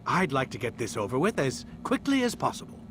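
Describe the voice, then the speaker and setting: An actor playing a smug older scientist holding someone captive, speaking with condescending, smooth politeness in a video game cutscene. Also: male voice, mid-range voice, huge pitch swings, a little fast, noisy background. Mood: brisk, smug.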